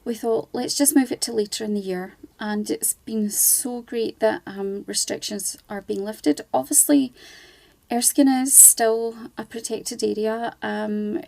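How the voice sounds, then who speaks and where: A woman's voice: fairly high pitch, fairly even intonation, unhurried, vocal fry, warm tone, dry recording. A middle-aged woman from Scotland, guest on a charity radio show, chatting casually with lots of ums. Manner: chatty, many ums, laughs easily.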